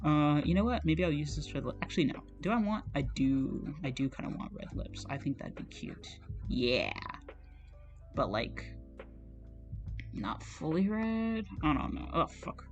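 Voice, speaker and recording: A chatty streamer talks loosely while drawing, voice slightly strained and scratchy, self-conscious and quick to laugh and swear. Middling pitch. Faint music sits softly behind the talk. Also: very animated. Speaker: digital artist streaming. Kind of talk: casual rambling commentary while drawing.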